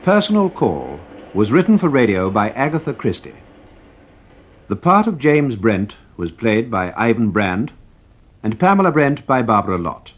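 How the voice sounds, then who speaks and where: Male announcer, breathy, animated pitch, formal vintage radio style. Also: moderate pace.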